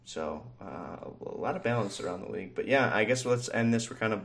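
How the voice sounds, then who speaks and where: A young man talking casually on a sports podcast. His voice sits low, and his talk rambles, full of fillers and easy chuckles. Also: lively intonation, fairly quick, a bit bright. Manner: rambling, lots of fillers, laughs easily. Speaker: podcast co-host. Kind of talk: casual chat.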